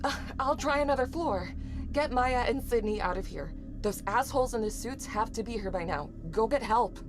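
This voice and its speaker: A woman's voice: a little high, expressive, normal speed, natural voice. An actress playing a determined young woman survivor, narrating and speaking in a horror audio drama. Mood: urgent. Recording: music under the voice.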